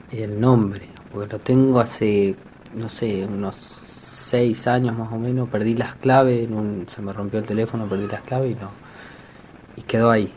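A young man, a musician guest on a radio show, soft-spoken and a bit hesitant. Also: lowish voice, slight background noise. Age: young adult. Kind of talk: radio interview chat.

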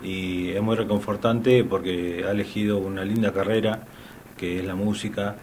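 A low-voiced man from southern Patagonia with an Argentine Spanish accent, speaking as a radio guest. He talks in a formal, halting way, filling pauses often. Faint noise sits behind him. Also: steady tone, a little mellow. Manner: halting, many fillers, formal. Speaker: guest musician. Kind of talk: radio interview chat.

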